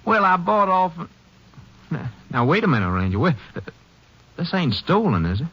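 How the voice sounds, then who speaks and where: A man's voice: a little high, sing-song, moderate pace, a bit bright, breathy edge, full character voice. An actor playing a nervous, folksy cowhand who stammers through his protests in an old radio western. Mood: nervous, defensive. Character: nervous cowhand, chatty ranch worker protesting innocence. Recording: dull old radio drama recording.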